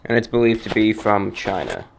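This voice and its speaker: A man hosting a radio show, explaining things in a casual, halting, conversational way. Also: fairly low pitch, wildly swooping pitch, creaky, slight background noise, rough recording. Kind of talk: casual informal radio commentary.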